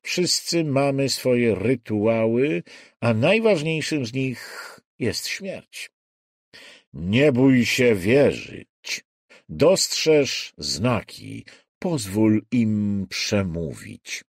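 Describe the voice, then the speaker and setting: A man's voice: mid-range voice, big pitch swings, no room sound, clean studio sound, heavily acted. A man voicing a mystical doomsday prophet in an audiobook thriller, solemn and portentous. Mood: solemn preaching. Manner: slow, portentous.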